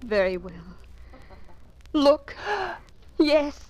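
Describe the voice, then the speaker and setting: Tender, anguished masquerade heroine: youthful high voice, slow melodramatic delivery, old radio drama. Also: female voice, expressive, darkish tone, no echo, exaggerated voice, poor audio. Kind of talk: 1940s radio acting. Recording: vintage 1940s radio recording, music behind.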